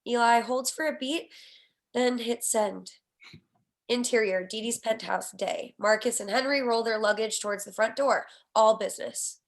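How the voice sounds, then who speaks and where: A woman reading stage directions at a screenplay table read, with an expressive, wry delivery. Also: fairly high pitch, moderate pace.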